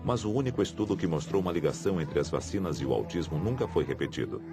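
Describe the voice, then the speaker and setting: Brazilian guy narrating a documentary, super deep voice, quick pace, music under him. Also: lively intonation. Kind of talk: scripted narration.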